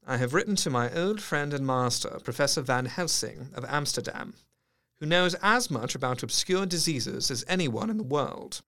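Fairly high, earnest young doc reading his letters calmly. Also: moderate pace. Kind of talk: radio drama, reading letters and diary entries.

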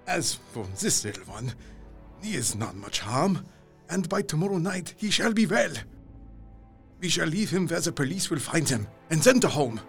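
A man playing an older vampire-hunting professor in a gothic audio drama, grave and fatherly, with solemn, emphatic delivery. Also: mid-range voice.

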